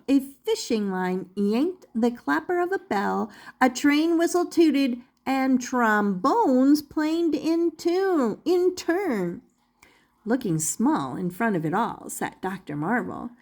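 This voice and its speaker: An older woman narrates a children's story. Her voice sits fairly high and moves up and down with great liveliness. She reads deliberately and very slowly, lingering on key words. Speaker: storyteller reading aloud to children. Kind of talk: expressive children's picture-book narration.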